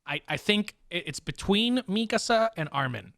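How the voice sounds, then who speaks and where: A man hosting a casual fan discussion, talking informally and excitably, often repeating phrases as he goes. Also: high-pitched, moderate pace, no room sound, studio quality. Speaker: podcast host.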